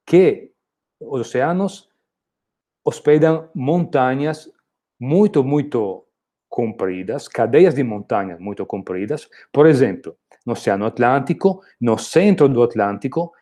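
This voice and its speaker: A university lecturer with an Italian accent, a man speaking his second language. His voice is dark and mellow, and his explanations are steady, with small hesitations and restarts as he walks through the slides. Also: mid-range voice. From Italy. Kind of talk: recorded online lecture.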